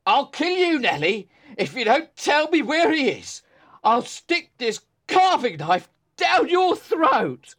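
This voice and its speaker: A woman voicing a drunken, violent man who shouts curses and threats in an audiobook reading. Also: mid-range voice, wildly swooping pitch, takes it slowly, husky, full character voice. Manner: shouting, slurred menace. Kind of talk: audiobook character voice.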